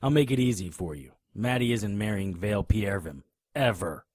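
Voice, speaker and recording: A man's voice: fairly low pitch, animated, normal speed, darkish tone, dry recording, studio quality. An actor playing a tough, righteous male private detective in an audio drama, confrontational and sardonic. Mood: confrontational, accusing. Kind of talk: hardboiled audio drama acting.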